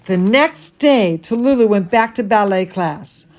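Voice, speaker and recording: Slow, highly animated woman reading a storybook. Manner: theatrical, gushing, drawn-out emphasis. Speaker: dance teacher reading a story to students. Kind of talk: expressive bedtime storybook reading for children.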